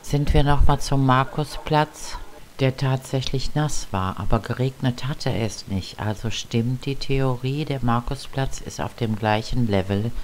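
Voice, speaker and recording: Man doing slow travel voiceover, calm and reflective, faint hiss behind. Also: medium pitch, rough recording. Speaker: voice-over narrator.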